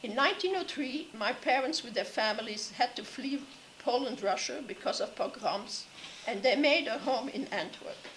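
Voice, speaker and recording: Older belgian-born lady reading slow and measured, clears her throat a lot, fairly high voice. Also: expressive, fairly bright tone, slight background noise, rough recording. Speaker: Holocaust survivor speaker. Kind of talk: reading a prepared memoir talk. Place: classroom.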